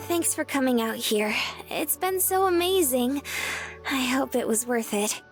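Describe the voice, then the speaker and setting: A woman voicing a bubbly, affectionate young woman in a visual novel, playful, girlish and expressive. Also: high-pitched, a little slow, soft background music. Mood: excited, affectionate.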